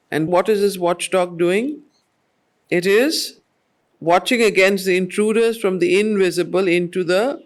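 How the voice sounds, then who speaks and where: A mature woman reads poetry and explains it to a study group in a deliberate, measured way. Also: on the low side. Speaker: study circle facilitator, teacher. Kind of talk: slow poetry reading with explanatory teaching.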